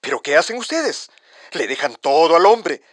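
A man's voice: on the high side, big pitch swings, moderate pace, no echo, clean studio sound. An actor playing an indignant man who calls a radio advice show, complaining in a mocking way. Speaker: caller.

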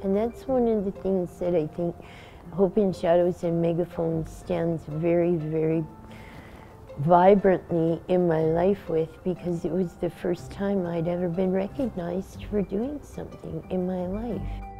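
A middle-aged woman speaking reflectively in a personal interview, earnest and halting, pausing mid-phrase. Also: lowish voice, steady tone, warm tone, low-quality recording. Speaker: street paper vendor. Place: indoor room. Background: music.

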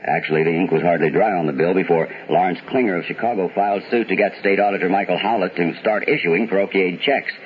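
Actor as a low-voiced vintage radio newsman. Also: lightly acted. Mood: measured, matter-of-fact. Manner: measured, formal, hesitating over copy. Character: steady male radio newsman reading bulletins. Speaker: radio newscaster. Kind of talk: 1970s radio news bulletin, dramatized. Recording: dull, noisy vintage 1970s radio broadcast.

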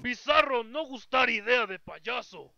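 A man's voice: very high-pitched, lively intonation, measured pace, vocal fry, bright, forceful tone, dull audio, exaggerated voice. A man with a Latin American Spanish accent voicing a dim-witted, childish, blunt superhero clone who talks in broken grammar, in a video game fandub. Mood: indignant. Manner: broken caveman grammar with bare infinitives.

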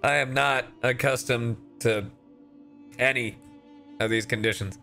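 A man's voice: mid-range voice, steady tone, unhurried, bright, forceful tone, soft background music. A young man doing casual livestream commentary as a game streamer, sarcastic, joking and sweary.

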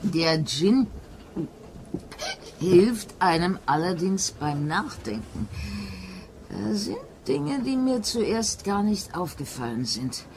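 A woman's voice: fairly low pitch, big pitch swings, takes it slowly, darkish tone, full character voice. An actress playing a tipsy, sly servant woman in a German radio thriller, with a slurred, conspiratorial drawl. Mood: tipsy, suspicious. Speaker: actress in an audio drama. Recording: clearly noisy background.

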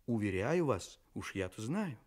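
A man's voice: lowish voice, big pitch swings, measured pace, a little mellow. A man narrating a children's radio play, confiding in his young listeners as a storyteller.

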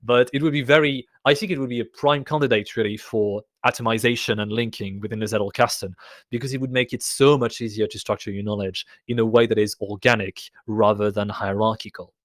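Male host, casual and talkative, slightly hoarse, dry close mic. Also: fairly low pitch, steady tone, brisk, no room sound. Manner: chatty, rambling, self-correcting. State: voice getting raspy. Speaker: conference host. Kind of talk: casual live Q&A moderation.

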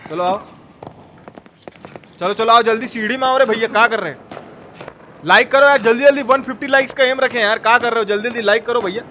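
A high-pitched man with a Bihari Hindi accent commentates live on a game. He is slangy and excitable, repeating phrases as he goes. Game noise is clearly audible behind him. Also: quick pace, long pauses, dull audio. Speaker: game streamer. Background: horror game sound effects and ambience.

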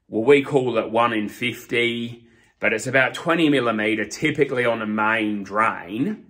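Slow-talking plumber man. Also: medium pitch, a bit bright. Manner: slow, deliberate, explains step by step while holding up pipe fittings. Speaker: master plumber. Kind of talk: explainer video to camera. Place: small storeroom with shelves, indoors. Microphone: camera in front at close range.

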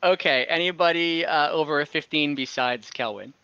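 A man narrates and referees a tabletop game over a video call, easygoing and halting as he works things out. His voice is bright and pressed, slightly higher than most men's. The audio is dry and dull, like a lo-fi call. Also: smooth, no echo. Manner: relaxed, hesitant, thinking aloud with many fillers. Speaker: dungeon master. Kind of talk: running an online tabletop game.

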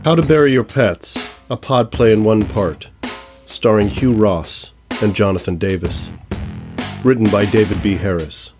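A man's voice: lowish voice, a little slow, close to an everyday voice. A man narrating an audio play in a scripted style, reading stage directions and credits. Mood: announcing. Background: music.

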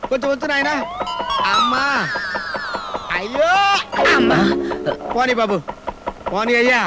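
A panicky comic schemer who wails and begs with big theatrical pleading. His voice sits very high, with film music playing behind him. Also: wildly swooping pitch, a little slow, heavily acted, poor audio. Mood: panicked. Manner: wailing, pleading, melodramatic. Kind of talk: slapstick film comedy acting.

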